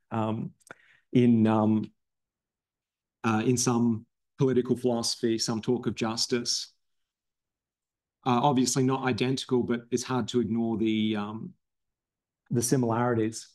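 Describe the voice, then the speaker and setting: A man giving an academic seminar talk, explaining ideas in a halting way with many ums and restarts, his voice a little strained from losing it recently. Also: medium pitch, darkish tone. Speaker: philosophy researcher presenting a seminar talk.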